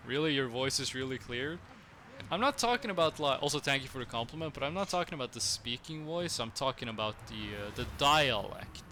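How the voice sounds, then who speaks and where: A man's voice: medium pitch, lively intonation, fairly quick, sharp tone, a little hiss or hum. A young man from Norway with a Norwegian accent, chatting casually with viewers while he plays a strategy game, rambling with lots of fillers. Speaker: streamer.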